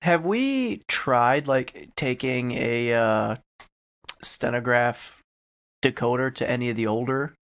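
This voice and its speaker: A man's voice: medium pitch, lively intonation, slow pace, gravelly, fairly bright tone, clear, resonant tone. A man co-hosting a podcast, chatting informally with short questions and joking banter. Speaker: podcast co-host.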